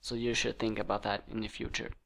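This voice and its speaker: An eighteen-year-old man reads audition lines in a plain, somewhat emotionless way. His voice is light rather than deep, and each line comes twice in a casual, matter-of-fact tone. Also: level tone, fast talker, creaky, fairly bright tone. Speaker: voice actor auditioning.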